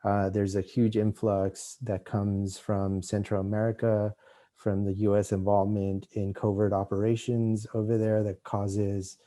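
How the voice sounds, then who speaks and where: Slow, halting man with a dark voice. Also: on the low side, little pitch movement. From Mexico, raised in Los Angeles. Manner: halting, many restarts and fillers. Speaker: visiting artist lecturer. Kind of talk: art lecture.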